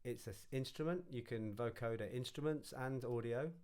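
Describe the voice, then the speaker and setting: A man giving an informal, step-by-step music software tutorial, thinking aloud as he instructs. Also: medium pitch, normal speed.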